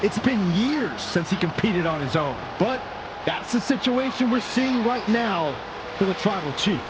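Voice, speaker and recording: A male wrestling commentator speaks in a high register. Loud ambient noise surrounds his voice as he sets up the story. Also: expressive, unhurried. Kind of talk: sports commentary. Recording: clearly noisy arena ambience.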